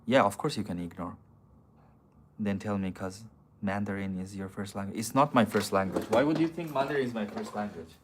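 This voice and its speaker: A man's voice: fairly low pitch, brisk, slight background noise. A man on a casual live stream, answering viewer comments in a blunt, profane, argumentative way. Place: city streets outdoors.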